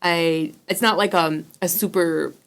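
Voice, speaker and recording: A woman who writes and plays music for children talks easily on a radio show. Her voice sits low and has a gravelly fry to it. She speaks in a relaxed, chatty way and laughs often. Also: measured pace. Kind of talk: casual radio interview.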